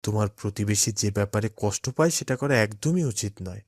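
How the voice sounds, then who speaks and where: An actor voicing a kind, patient father in a cartoon, speaking calmly and instructively. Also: lowish voice, fairly quick, no echo, studio quality. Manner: calm, gently instructive. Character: kind patient father, middle-aged man.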